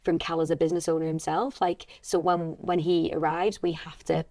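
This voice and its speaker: Slightly husky, lower-pitched woman chatting. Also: little pitch movement, fairly quick, warm tone. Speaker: voice actress on a podcast. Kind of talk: casual reflective conversation.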